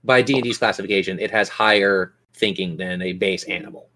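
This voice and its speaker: A man with a fairly low voice acts as game master at a tabletop role-playing session. He narrates descriptively, painting scenes for the players and steering them with questions. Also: steady tone, fairly quick, pushed and bright, rough recording.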